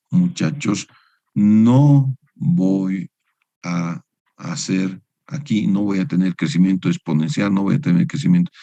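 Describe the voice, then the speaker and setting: A man teaching an engineering class over a video call, with a lowish voice. He lectures in a didactic way and keeps asking his students for agreement. The sound is dry and close, with no room around it. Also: a little mellow, no room sound. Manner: didactic, keeps adding the tag question "no es cierto". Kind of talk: online university lecture.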